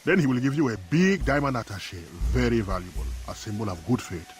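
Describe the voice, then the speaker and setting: A man playing a calculating, coldly menacing warlord boss in a video game, giving orders in a measured, formal, commanding way. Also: medium pitch, big pitch swings, close to an everyday voice. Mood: smug, commanding. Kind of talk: video game cutscene acting.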